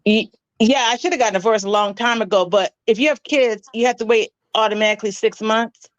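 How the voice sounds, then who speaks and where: A woman in her forties telling her story in an interview, matter-of-fact and a little halting. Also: a little high, no room sound. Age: 40s. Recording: dull, dry lo-fi clip audio.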